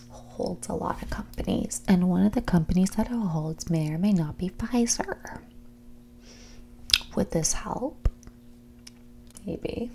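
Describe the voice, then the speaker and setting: A woman playing a mean, condescending rich young woman on a first date in a whispered, soft-spoken ASMR roleplay, with a bored drawl. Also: on the low side, creaky, a little hiss or hum. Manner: condescending, drawling, bored, valley-girl filler "like".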